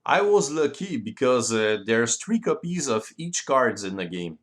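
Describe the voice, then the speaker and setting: A man with a French accent walks through how a board game is played. His delivery is slow and a little hesitant, as he thinks aloud. His mid-pitched voice moves up and down in a very animated way. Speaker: board game rules presenter. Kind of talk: explanatory walkthrough of game play.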